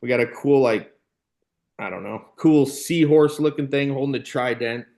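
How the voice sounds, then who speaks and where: A man's voice: medium pitch, moderate pace, darkish tone. An American man in his thirties telling casual, rambling anecdotes as a podcast guest, often dropping in "you know", "like" and "man". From Illinois, United States. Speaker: podcast guest, former baseball player and youth coach.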